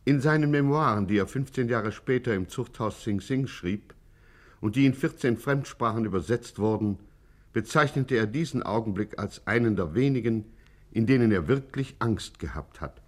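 An actor narrating a vintage German radio crime satire with mock-dramatic suspense. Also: male voice, mid-range voice, normal speed, close to an everyday voice. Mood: mock-grave suspense. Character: mock-grave narrator of a gangster serial.

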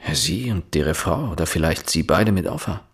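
An actor playing a persistent, soft-spoken police inspector in a radio drama, questioning in a probing, measured way. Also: male voice, low-pitched, expressive, slightly rough. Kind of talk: German radio drama acting, quiet interrogation.

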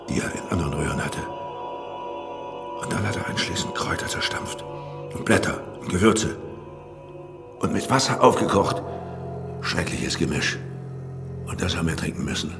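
An actor playing a drunken, excitable collector of antiquities in a German radio drama, emphatic and repeating himself in a halting way. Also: male voice, deep voice.

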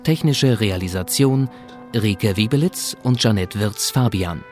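Slow, low-voiced male radio announcer. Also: a little mellow, faint music behind. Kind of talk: scripted credits announcement.

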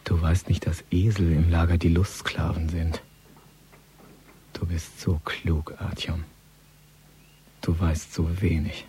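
Very deep, brooding ex-convict gangster. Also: male voice, little pitch movement, a little hiss or hum. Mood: quietly menacing. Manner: slow, knowing, quietly threatening. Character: slow, brooding, menacing male ex-convict gangster. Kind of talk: radio crime drama acting.

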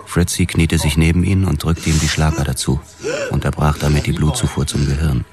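An actor playing a hard-boiled police detective who narrates a radio drama in a terse, grim, literary way. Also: male voice, very deep voice, level tone, brisk. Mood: cold, detached. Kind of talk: scripted first-person radio drama narration. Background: music.

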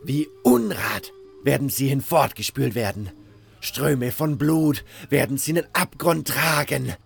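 A man performs a fanatical cult preacher from a fantasy audio drama. He declaims in solemn, insistent repetitions, rousing the faithful, with some gravel in the voice over faint music. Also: mid-range voice, animated, measured pace, full character voice. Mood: menacing, prophetic. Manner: declamatory, rhetorical, repeating phrases insistently.

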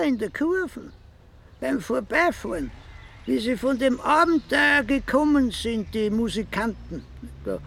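An actor playing a grumbling old village hunter with an Austrian accent in a radio play, slow and drawn-out. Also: male voice, very high voice, vocal fry, slight background noise, heavily acted. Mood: matter-of-fact. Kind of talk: radio play acting in dialect.

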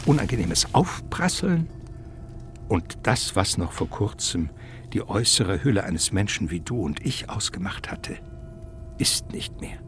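A man narrating a radio play in an expressive, theatrical style, with suspense and irony. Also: on the low side.

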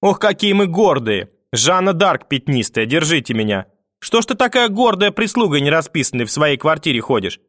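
A man's voice: on the high side, very animated, normal speed, fairly bright tone, no echo, studio quality, voice pushed up. A man voicing a spiteful, domineering old grandmother in an audiobook, scolding and cursing with sarcasm. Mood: bitter, sarcastic. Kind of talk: audiobook character acting.

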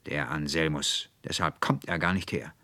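A man's voice: rumbling low, steady tone, moderate pace, bright, forceful tone, dry recording. A man voicing an irascible middle-aged schoolmaster in a storybook reading, blustering and sputtering as he exclaims. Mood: indignant, malicious.

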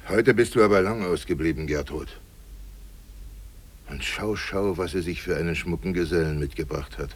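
An actor playing a hearty, bluff village mayor and father, jovial and brisk, in 1950s German radio drama style. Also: low voice, slightly rough. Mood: hearty welcome. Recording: old 1950s radio play from cassette.

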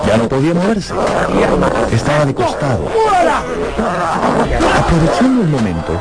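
A man's voice: medium pitch, low-quality recording. A man narrating a radio drama in a dramatic, scripted storytelling style.

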